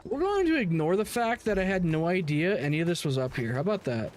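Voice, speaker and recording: A man's voice: sits high, big pitch swings, fast talker. A man chatting casually while streaming a game, swearing and using plenty of slang. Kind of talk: casual livestream chat while gaming.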